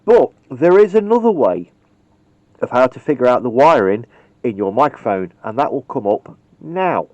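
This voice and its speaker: A chatty man talks through a hands-on wiring job in a loose, rambling way, full of ums and the odd mild swear word. His voice is dark and mellow. The sound is dull and lo-fi, and it is very dry. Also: medium pitch, very animated, moderate pace, dry recording. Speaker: radio hobbyist demonstrating wiring. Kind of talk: casual how-to demonstration. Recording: dull, lo-fi home video sound.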